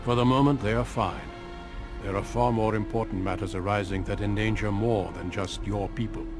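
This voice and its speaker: Fantasy game recruiter: male actor as stern seasoned warrior, lowish voice, solemn and commanding, prominent music underneath. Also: steady tone, natural voice. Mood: stern, urgent. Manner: solemn, authoritative, measured. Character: grave commanding veteran warrior, a male Grey Warden recruiter. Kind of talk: fantasy video game voice acting.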